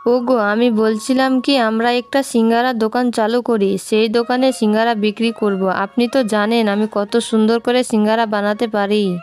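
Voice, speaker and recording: Woman playing a gently coaxing village wife. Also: on the high side, level tone, moderate pace, soft background music, natural voice. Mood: earnest, coaxing. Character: supportive, respectful village wife. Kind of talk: cartoon story dialogue.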